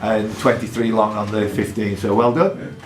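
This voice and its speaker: A man hosting a live quiz in a pub, reading questions out deliberately and adding jokey asides. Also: fairly low pitch, level tone. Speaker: quizmaster. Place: indoor pub. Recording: echoey pub room with background noise.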